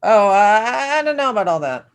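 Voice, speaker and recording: A man's voice: high-pitched, very animated, moderate pace, clean tone. A man playing a nervous, apologetic screenwriter in a table read, speaking in a hesitant, awkward way. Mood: uneasy. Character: nervous, apologetic young screenwriter out of his depth.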